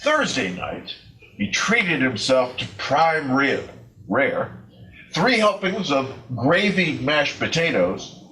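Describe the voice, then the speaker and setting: A man reading a scripted story aloud as a readers theater performer, deliberately and with comic emphasis. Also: on the high side, bright, forceful tone, rough recording.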